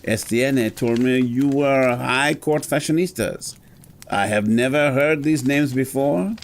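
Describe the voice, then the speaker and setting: A man playing a brisk, haughty eighteenth-century French gentleman in an audio drama, formal, wry and insistent. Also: medium pitch, close to an everyday voice. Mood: wry, suspicious.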